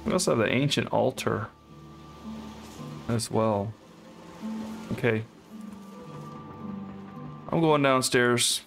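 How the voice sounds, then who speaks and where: Gravelly man musing over a game. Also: mid-range voice, slow pace, long pauses, over music. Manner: thinking aloud, hesitant, puzzling things out. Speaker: co-op game let's player. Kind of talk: casual gameplay commentary.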